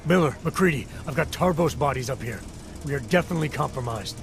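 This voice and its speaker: A man playing a stoic, gruff, wary security agent with a terse, clipped delivery in a video game. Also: mid-range voice, animated, fast. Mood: focused. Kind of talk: video game cutscene acting. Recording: music behind the voice.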